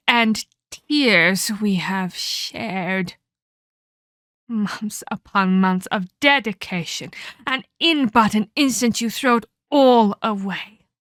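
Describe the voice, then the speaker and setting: A woman's voice: mid-range voice, fairly bright tone, no echo, clean studio sound. A woman voicing a menacing female vampire captor in a scripted ASMR roleplay, theatrical and mockingly sweet as she scolds and condescends. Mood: angry scolding. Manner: theatrical, mock-polite, slow deliberate scolding.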